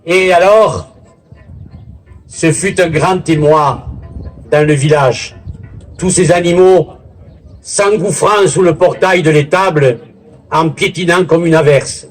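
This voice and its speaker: A man giving a formal public speech as a local official, in a deliberate, theatrical oratorical manner with pauses and a husky voice. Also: a little high, rough recording. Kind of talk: formal public speech at a village festival, with literary recitation. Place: village festival in Bouisse, addressing a gathering.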